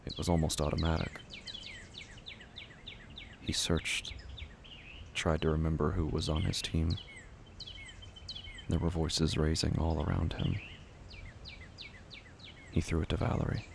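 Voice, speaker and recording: A man reading scripted literary horror narration in a brooding, deliberate way, often dropping to a hush. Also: rumbling low, little pitch movement, creaky, background noise.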